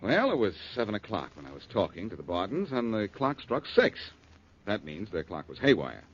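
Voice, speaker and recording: An actor with an American accent playing a confident, wry detective in a 1940s radio drama, assured and clipped. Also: male voice, fairly low pitch, wildly swooping pitch, moderate pace, slight background noise. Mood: wry, explaining. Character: confident male detective, cool, wry and unflappable. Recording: muffled vintage 1940s radio recording.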